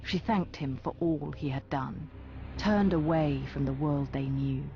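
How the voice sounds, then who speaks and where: A solemn fantasy storyteller with a lightly raised pitch. He reads in measured, storybook fashion for a game. Music plays clearly behind his slightly dull recording. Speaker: narrator. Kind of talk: scripted storybook narration.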